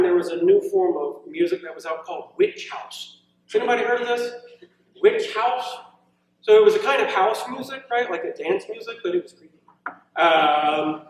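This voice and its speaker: A man's voice: high voice, a little hiss or hum. Man giving a poetry reading, very echoey room. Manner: measured verse delivery, informal hesitant asides. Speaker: poet reading own work. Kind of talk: live poetry reading with casual asides. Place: indoor college reading event, echoing room. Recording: very echoey room, distant mic.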